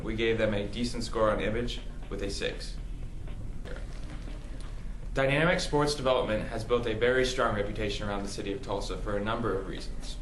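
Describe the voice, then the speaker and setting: A man's voice: medium pitch, fairly bright tone, slight background noise. A young man delivering a formal, scripted student presentation. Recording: roomy echo, faint music.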